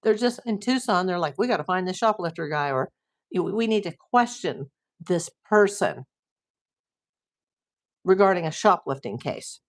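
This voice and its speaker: A woman's voice: on the low side, expressive, moderate pace, long pauses. A middle-aged woman hosting a casual livestream, chatting and reading viewer comments while losing her voice. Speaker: livestream host.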